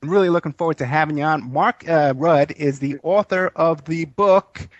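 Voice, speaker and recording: A man's voice: on the high side, normal speed, dry recording, muffled recording. A man in his fifties hosting a live radio interview show, talking casually with lots of fillers and restarts. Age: 50s. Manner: casual, rambling, many fillers.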